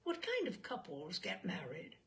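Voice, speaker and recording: A woman voicing a courteous male questioner who methodically examines a witness in a humorous story, formal and measured. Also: on the low side, wildly swooping pitch. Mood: polite, inquiring. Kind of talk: humorous short story read aloud.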